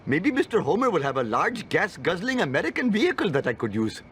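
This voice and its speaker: A man's voice: high voice, huge pitch swings, fast, a bit bright, a little hiss or hum, full character voice. A male actor playing an earnest, polite Indian shopkeeper in a cartoon game, with an Indian accent and formal, stilted phrasing. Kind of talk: video game cartoon cutscene acting.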